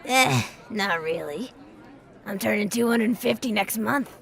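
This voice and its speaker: An actress playing a grumpy, sardonic, centuries-old irradiated ghoul woman in an audio drama, gravelly and blunt. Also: medium pitch, expressive, a little slow, a bit bright, some chatter behind. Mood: sardonic, dry.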